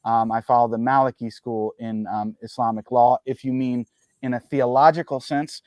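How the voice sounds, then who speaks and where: A man's voice: mid-range voice, fairly even intonation, darkish tone, dry recording. Male guest, New Jersey-raised, mid-range voice, cautious and hedging, dry recording. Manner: measured, qualifying, frequent ums and uhs. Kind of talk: careful conversational explanation.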